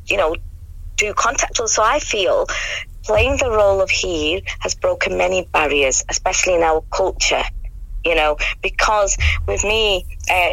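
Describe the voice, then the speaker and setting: A middle-aged woman from Britain, slightly hoarse, chatting enthusiastically as a radio studio guest. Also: on the low side, fairly bright tone, no echo, low-quality recording. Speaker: radio guest, amateur actress. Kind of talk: casual radio interview chat.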